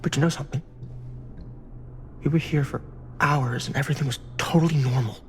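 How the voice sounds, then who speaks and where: An actor voicing a wisecracking young slacker in a cinematic horror game, talking in loose, slangy, profane jokes. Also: male voice, on the high side, steady tone, fairly quick, soft background music, natural voice. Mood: wry.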